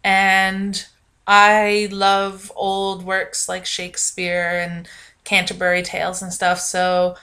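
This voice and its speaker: Young woman vlogging, loud voice that carries, rambly and jokey about herself. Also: medium pitch, monotone, takes it slowly. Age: young adult. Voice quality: naturally loud, projecting voice. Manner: rambling, self-mocking, lots of ums. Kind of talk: casual vlog chat.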